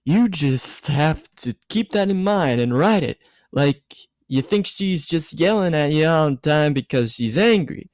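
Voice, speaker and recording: A tipsy, bossy grown woman, acted out by a man, pitched up well above his usual voice in a dry recording. The delivery is rambling and emphatic, like a giggly guardian dispensing advice in a story reading. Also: expressive, measured pace, a little mellow, no echo. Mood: tipsy, insistent.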